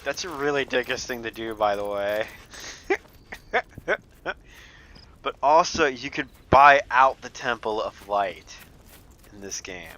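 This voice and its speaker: Young man, let's play commentary, bright tone, loose profane chatter. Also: mid-range voice, slow pace, pauses often, slight background noise. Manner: rambling, profane, laughs often. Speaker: gameplay commentator.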